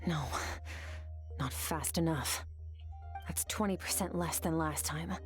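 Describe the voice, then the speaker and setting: A woman's voice: low voice, slow pace, faint music behind. A woman voicing a stoic, disciplined young swordswoman in an anime game, terse and matter-of-fact. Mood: cool, dissatisfied.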